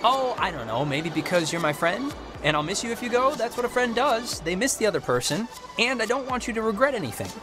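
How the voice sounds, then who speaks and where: A man voicing a talkative, nosy, goofy teenage high school boy in naturalistic video game dialogue, rambling and jokey with a flair for drama. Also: sing-song, brisk, few pauses. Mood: awkward, earnest. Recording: game music under the voice.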